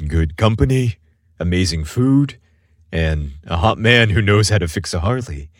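Guy doing a super low slow flirty biker hunk for a romance audiobook, husky. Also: animated, dry recording, studio quality. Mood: seductive. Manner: flirtatious, teasing, deliberate. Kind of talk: romance storytelling dialogue.

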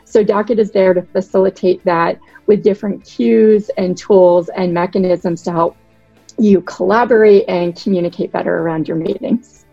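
A woman from Boston in her thirties, a podcast guest giving articulate, measured explanations of her work. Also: mid-range voice, steady tone, vocal fry, mellow, no echo, muffled recording, soft background music. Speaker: guest, product director. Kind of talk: podcast interview.

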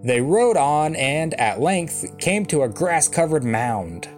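A man reading a fairy tale aloud as an expressive storyteller, with a wry, dry comic touch. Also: on the high side, slow. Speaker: storyteller, reader. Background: soft guitar music.

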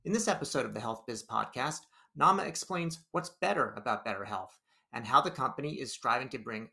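A man's voice: medium pitch, vocal fry, pushed and bright. A man hosting a business podcast, reading a scripted introduction and then asking his guest questions in a loose, conversational way. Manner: conversational, with fillers and restarts when asking. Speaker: podcast host.

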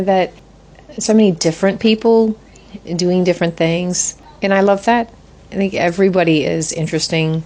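A woman in her forties, a guest in an interview, talking in a thoughtful, measured way with frequent restarts. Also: lowish voice, a little mellow. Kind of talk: reflective interview conversation.

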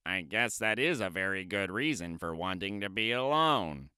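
A man doing a low, fretful little toad. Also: animated, moderate pace, sharp tone, clear, resonant tone, dry recording, studio quality, exaggerated voice. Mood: worried. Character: anxious devoted male toad, small and earnest.

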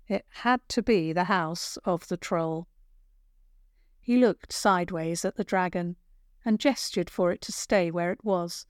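Slow-paced woman storyteller, dry close recording. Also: medium pitch, a little mellow, dry recording. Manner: measured, careful storytelling. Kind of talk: scripted children's story narration.

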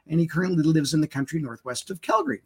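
A man's voice: fairly high pitch, big pitch swings, fast talker, darkish tone, breathy edge. A man hosting a live webinar interview, chatty and hesitant, with frequent fillers. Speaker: webinar host.

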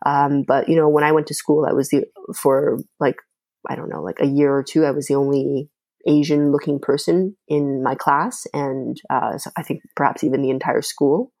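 A woman's voice: a bass voice, steady tone, normal speed, a little mellow, no echo. A young woman from China, a Mandarin native speaker, talking thoughtfully in an interview with many fillers and restarts. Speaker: podcast host, guest. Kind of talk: casual interview talk.

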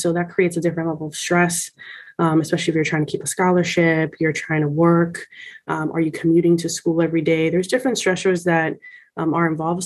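A woman's voice: fairly low pitch, darkish tone. A woman from Miami speaking in a conversational interview, explaining things from experience in an articulate, emphatic, self-assured way. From Miami, United States. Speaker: senior college administrator being interviewed.

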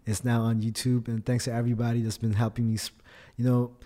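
Mellow-voiced man in casual slangy chat. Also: medium pitch, completely flat delivery, fast. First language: Tagalog. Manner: slangy, friendly address with frequent tags. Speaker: podcast guest, indie hip-hop artist.

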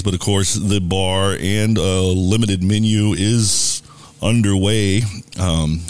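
A man with a very low, deep, booming voice hosts a live radio show. He chats loosely and casually, with plenty of ums and restarts. The tone is relaxed and unhurried. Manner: relaxed, rambling with many fillers. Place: bar and restaurant.

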